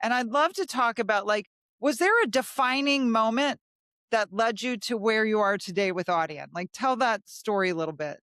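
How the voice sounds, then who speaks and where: A woman's voice: on the high side, animated, moderate pace, a bit bright, dry recording, clean studio sound. A woman hosting a podcast interview, chatty and enthusiastic, with frequent fillers and restarts. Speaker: podcast host. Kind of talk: interview, casual chat.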